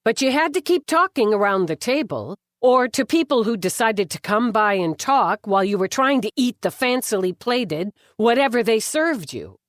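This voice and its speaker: Expressive woman narrating, very dry close sound. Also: a little high, moderate pace, few pauses, dry recording. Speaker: audiobook narrator. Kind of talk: scripted fiction narration.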